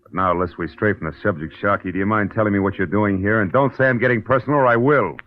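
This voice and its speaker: An actor playing a tough, wisecracking private detective and narrator in a 1940s radio drama, sardonic and clipped. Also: male voice, lowish voice, quick pace, close to an everyday voice. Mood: sardonic, needling. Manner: hard-boiled, sardonic, clipped. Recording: dull old radio recording.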